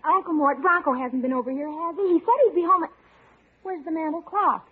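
An actress playing a cheerful, affectionate young wife and niece in an old radio sitcom. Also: female voice, sits high, brisk, close to an everyday voice. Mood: bright, curious. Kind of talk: 1940s-50s radio sitcom acting. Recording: tinny, hissy old radio recording.

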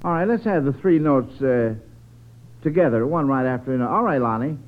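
A man's voice: medium pitch, expressive, a little fast. An American man hosting a vintage radio quiz for children in a chatty, avuncular way. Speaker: quiz show host. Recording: tinny vintage radio broadcast.

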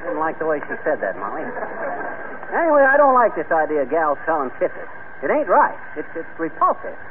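An actor playing a brash, grumbling middle-aged husband with folksy, slangy speech in a 1940s radio comedy. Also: male voice, fairly high pitch, huge pitch swings, brisk. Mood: disgruntled. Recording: scratchy, tinny 1940s radio recording.

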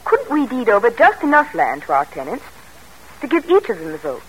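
An actress playing a clever, earnest and devoted seventeen-year-old daughter with refined, polite delivery in an old-time radio drama. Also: female voice, fairly high pitch, huge pitch swings, fairly quick. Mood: earnest, eager. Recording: noisy old radio broadcast recording.

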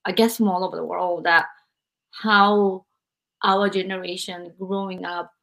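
Host, woman in her forties from Japan, mid-pitched, reflective and filler-heavy. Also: flat delivery, a little slow, vocal fry, a bit bright. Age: 40s. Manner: reflective, with frequent "like" and "you know". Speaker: podcast host. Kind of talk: interview conversation.

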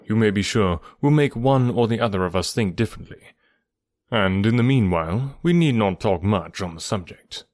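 A man voicing a composed, grave gentleman who speaks in a measured, formal way in an audiobook. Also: on the low side, dry recording, studio quality, natural voice. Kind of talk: audiobook character dialogue.

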